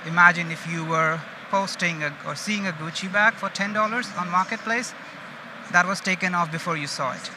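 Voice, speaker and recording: A man from India with an Indian accent gives an informal conference presentation in a conversational way, often checking in with his listeners. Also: fairly high pitch. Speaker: conference speaker, data engineering manager. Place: open stage at a busy exhibition. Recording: loud background noise of busy event hall. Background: loud ambient event noise.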